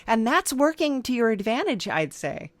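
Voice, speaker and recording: A woman hosting a casual, playful comedy interview in a halting, sympathetic way. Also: on the high side, very animated, no echo. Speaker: podcast host and interviewer.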